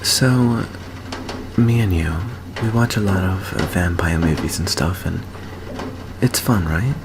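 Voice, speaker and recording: A man playing a nervous, earnest teenage boy vampire in an intimate ASMR roleplay, speaking haltingly with small stammers. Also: low-pitched, noisy background. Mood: hesitant.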